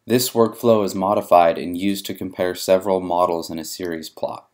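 Low-voiced man narrating a tutorial. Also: fairly even intonation, normal speed, darkish tone. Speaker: software demonstrator. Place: voice-over for a screen recording.

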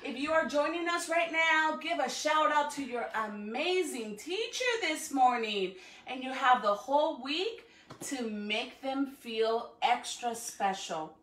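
Female educator for a children's read-aloud, higher voice, encouraging delivery, picked up at a distance from the mic. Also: expressive, measured pace, a bit bright. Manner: encouraging, addressing children with questions. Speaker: school educator reading to students. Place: living room at home, indoors. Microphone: phone or camera a few feet away. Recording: echoey room, distant from the microphone.

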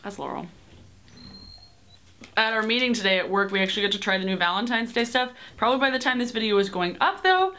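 A woman's voice: mid-range voice, pushed and bright. A young woman rambling casually in a bedroom vlog, full of "like" and "um" and casual swearing, recorded on a webcam. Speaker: vlogger. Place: small bedroom, indoors. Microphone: webcam at desk distance.

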